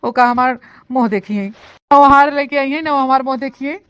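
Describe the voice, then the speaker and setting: A woman's voice: high-pitched, a little fast, smooth, dry recording, lightly acted. A woman playing a sly new village bride in a comic cartoon story, with an eastern Uttar Pradesh accent and a dramatic, scheming way of talking. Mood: gloating. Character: sly rural new bride hiding a secret from in-laws. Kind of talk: comic village story dialogue and monologue in dialect.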